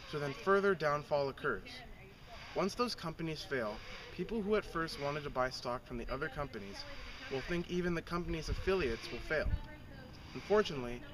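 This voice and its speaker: Earnest male field reporter acted by an amateur man, slightly high pitch, scripted, picked up from a distance. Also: fairly bright tone. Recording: recorded at a distance from mic.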